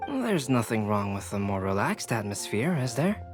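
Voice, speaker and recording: A woman voicing a composed, scholarly, self-assured adult in a video game, with a relaxed manner. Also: a bass voice, moderate pace, music in the background. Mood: calm.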